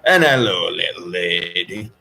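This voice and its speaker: A man voicing a friendly old chip shop owner in a story, with hearty, drawn-out greetings. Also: sits low, animated, sharp tone, a little hiss or hum, heavily acted. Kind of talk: audiobook character voice.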